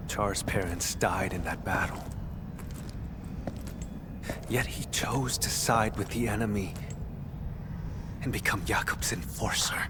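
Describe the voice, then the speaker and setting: Brooding vampire warrior, low voice, grave and understated, video game acting, loud ambient noise behind. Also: male voice, slow pace, long pauses. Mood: solemn, subdued. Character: brooding male vampire warrior, solemn and weary.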